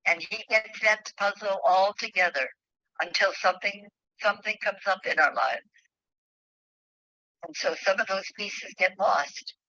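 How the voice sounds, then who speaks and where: A woman who is a spiritual leader, speaking haltingly with pauses as she reads and shares devotional thoughts with a group over a phone call. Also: mid-range voice, bright, forceful tone, dry recording. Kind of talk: devotional reading and heartfelt group sharing. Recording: dull, dry online call audio.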